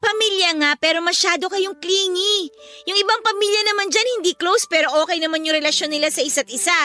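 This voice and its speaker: Defiant teen sister, eighteen, for radio drama: very high, bright voice, sarcastic and whiny. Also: female voice, fast talker, soft background music. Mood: resentful. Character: bratty, defiant eighteen-year-old younger sister. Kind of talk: Filipino radio drama acting.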